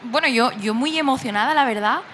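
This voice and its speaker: A woman's voice: a little high, a little fast, fairly bright tone, a little hiss or hum. A woman who is a manga artist guest, talking enthusiastically and laughing easily in a casual interview. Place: busy convention hall.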